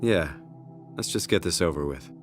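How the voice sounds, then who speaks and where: Weary, low-voiced troubled game protagonist man. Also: animated, moderate pace, distant mic, faint music behind. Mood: tense, resigned. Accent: North American. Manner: conversational, swearing, brooding. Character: weary, distressed man uprooted into a robot body. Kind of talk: naturalistic video game acting.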